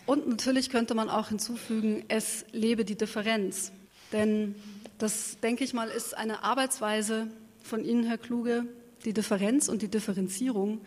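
A woman's voice: fairly high pitch, darkish tone. A woman moderating an interview, formally addressing her guest in articulate, carefully phrased remarks. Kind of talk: prepared interview introduction and questioning. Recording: reverberant hall.

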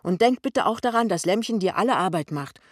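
A woman's voice: lowish voice, moderate pace, no room sound, studio quality, lightly acted. A woman voicing a young husband and son in an audiobook, blunt, proud and impatient. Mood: exasperated.

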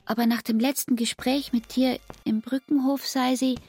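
An actress playing a hurt, reproachful young barmaid in a literary radio drama, speaking in a measured, deliberate and intense way. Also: female voice, high voice, steady tone, mellow, breathy edge. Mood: earnest, reproachful.

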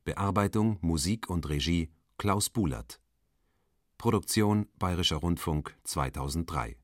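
A man's voice: sits low, animated, a little slow. A man reading radio play credits as a formal, scripted announcement.

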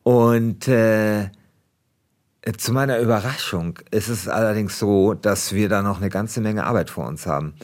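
A man's voice: on the low side, unhurried, darkish tone. A man hosting a podcast, switching between reading a script aloud and casual chat. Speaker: podcast host.

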